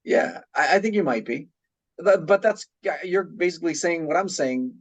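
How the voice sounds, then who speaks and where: A man with a fairly high voice chats casually as a guest on a discussion podcast. He is agreeable and rewords points to find common ground. The recording is very dry and free of room sound. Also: expressive, moderate pace, no echo.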